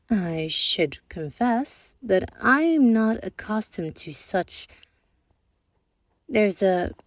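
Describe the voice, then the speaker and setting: A woman's voice: fairly low pitch, dry recording. A young woman playing a stern, precise thief boss. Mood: softening. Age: young adult. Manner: measured, precise, formal scolding. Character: stern, calculating female thief boss, secretly tender. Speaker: ASMR roleplay voice actor. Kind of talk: ASMR roleplay, soft spoken with whispers.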